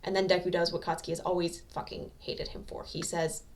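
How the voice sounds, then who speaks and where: A woman reading a story aloud as an amateur narrator, with expressive scripted delivery. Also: lowish voice, brisk, far from the mic.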